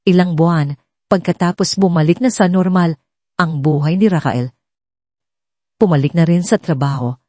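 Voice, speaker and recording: A woman reading scripted dramatic story narration in an earnest, measured manner. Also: lowish voice, a little mellow, no echo.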